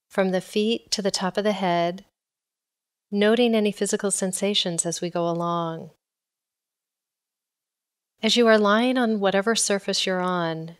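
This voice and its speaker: A woman leading a guided body scan meditation in a calm, measured, instructional way. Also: mid-range voice, smooth.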